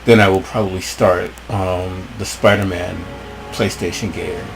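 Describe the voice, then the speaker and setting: A man with a rough voice commenting casually on his gameplay, rambling and thinking aloud. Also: low voice, level tone, unhurried, slight background noise. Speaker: let's play host.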